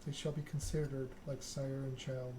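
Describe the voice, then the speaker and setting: A man voicing a stern female vampire prince from a narrative game, with a formal, imperious, deliberate delivery. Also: mid-range voice, flat delivery, background noise, natural voice.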